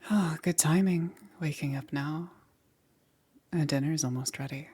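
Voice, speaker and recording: A woman playing a gentle witch caring for a stranger in a soothing ASMR roleplay, breathy and often dropping into a whisper. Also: low-pitched. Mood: gentle, attentive. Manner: unhurried, soothing, checking in with short murmurs. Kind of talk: soft-spoken ASMR roleplay.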